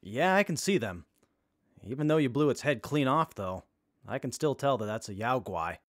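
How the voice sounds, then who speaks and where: An actor playing an earnest, anxious young crew member in a comedy audio drama. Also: male voice, medium pitch, very animated, normal speed, no echo, studio quality. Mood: anxious, insistent.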